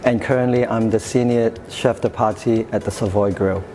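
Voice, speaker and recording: Interview guest, man in his 30s, mellow tone, halting delivery with fillers, faint music underneath. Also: lowish voice, steady tone. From Hong Kong, raised in the UK. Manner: hesitant, many fillers and restarts. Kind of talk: casual interview answers. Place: café-style room with sofa, indoors.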